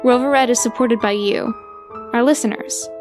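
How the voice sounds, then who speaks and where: A woman's voice: on the high side, moderate pace, music in the background. A woman podcast announcer reading scripted credits and listener appeals.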